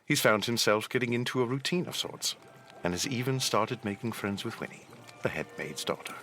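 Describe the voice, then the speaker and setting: A man's voice: medium pitch, expressive, a little fast, sharp tone, a little hiss or hum. A man narrating a pirate adventure audio drama in a scripted, dramatic storytelling style.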